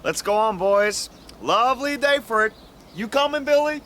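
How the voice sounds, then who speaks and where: An actor playing a jovial, teasing golfing buddy in a radio drama. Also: male voice, extremely high pitch, lively intonation, normal speed, sharp tone, a little hiss or hum, exaggerated voice. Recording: faint outdoor ambience with birds.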